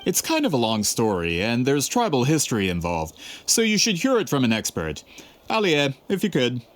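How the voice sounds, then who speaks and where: A man's voice: mid-range voice, huge pitch swings, normal speed, a little hiss or hum. An actor playing a polite, persuasive male book publisher in a video game. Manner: formal, courteous, salesman-like.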